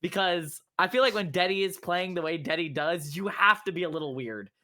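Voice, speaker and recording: A man's voice: high-pitched, brisk, pushed and bright. A man in casual banter as an esports commentator, slangy and teasing with his friends.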